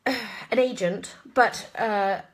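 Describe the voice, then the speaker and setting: A woman in her thirties from southwest England with an English accent, an actress chatting in an expressive, rambling way as she answers questions live. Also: medium pitch, extremely slow. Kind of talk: casual live Q&A chat.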